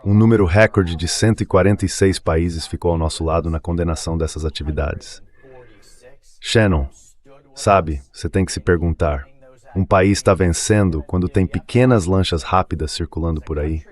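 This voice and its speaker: A man's voice: low-pitched, moderate pace, darkish tone. A man voicing the translated answers of an official in a political interview.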